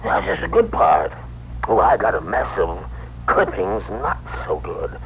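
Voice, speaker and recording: A man's voice: lowish voice, wildly swooping pitch, measured pace, vocal fry, fairly bright tone, exaggerated voice. An actor playing a cranky, obstinate old man, the outgoing year, talking folksily in a 1960s radio drama. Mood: defiant. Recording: noisy vintage radio broadcast recording.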